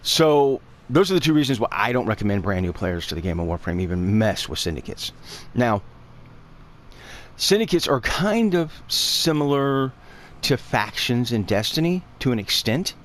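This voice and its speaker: A man's voice: mid-range voice, very animated, moderate pace, a bit bright. A man with a stuffy voice from allergies, talking through a game guide in a casual, rambling way that is full of fillers and self-corrections. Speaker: gaming guide creator. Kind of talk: casual game tutorial narration.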